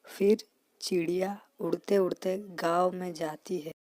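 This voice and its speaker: A woman's voice: lowish voice, steady tone, slow, a little mellow, dry recording. A woman narrating a children's story as the storyteller.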